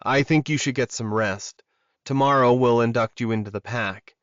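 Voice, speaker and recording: A man's voice: mid-range voice, moderate pace, fairly bright tone, no echo, muffled recording, natural voice. A man voicing a protective, earnest alpha werewolf in an audiobook romance. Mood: caring.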